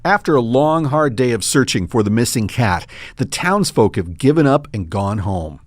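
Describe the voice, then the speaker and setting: A man as a dramatic storyteller narrating a comedic audio drama. His scripted delivery is mock-solemn, tongue-in-cheek and expressive. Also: mid-range voice, moderate pace, dry recording, clean studio sound, lightly acted.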